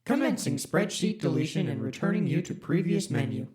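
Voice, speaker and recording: An actor voicing a deadpan, polite corporate spaceship computer that talks in flat bureaucratic phrases, with music underneath. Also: medium pitch, brisk, natural voice. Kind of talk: audio drama.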